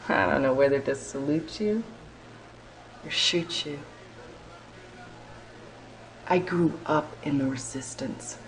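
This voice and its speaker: A woman's voice: sits low, rough recording. An actress playing a tough, bitter female resistance soldier, sardonic and menacing, in a video game cutscene. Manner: sardonic, menacing drawn-out delivery.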